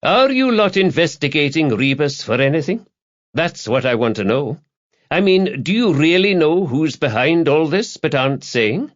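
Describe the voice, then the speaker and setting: A man's voice: on the high side, sing-song, normal speed, muffled recording, pitched above the performer's own voice. A man voicing a sly newspaper reporter in an audiobook, needling and insinuating as he fishes for information in a conversational way. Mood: pressing.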